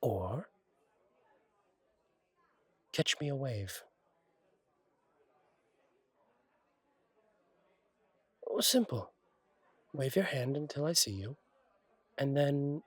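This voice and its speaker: A man playing a friendly, courteous angel bartender in an intimate roleplay audio, speaking gently with thoughtful pauses. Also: medium pitch, slightly rough, no echo. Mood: helpful, reassuring. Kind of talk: intimate roleplay audio acting, one side of a conversation.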